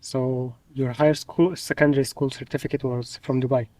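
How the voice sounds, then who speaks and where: A man's voice: mid-range voice, level tone, normal speed, dark tone, no room sound. Guy interviewer, casual chat style, checks what he heard, bit far from the mic. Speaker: host, interviewer.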